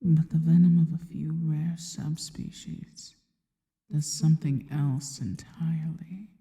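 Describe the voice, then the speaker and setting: A woman voicing a seductive, possessive yet devoted female spider monster in an ASMR roleplay, mostly in a slow, breathy, teasing whisper. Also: low-pitched, steady tone, warm tone. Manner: slow, teasing, intimate delivery. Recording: long reverberant tail on the voice.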